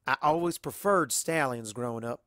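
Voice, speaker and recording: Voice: medium pitch, normal speed, a bit bright, dry recording, studio quality, close to an everyday voice. A storyteller voicing an affectionate female cartoon farm pony with folksy country talk. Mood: warm, candid.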